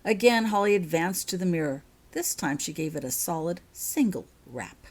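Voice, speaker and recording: A woman's voice: on the low side, lively intonation, moderate pace, a little mellow. A woman reading her own fiction aloud as an audiobook-style story narration.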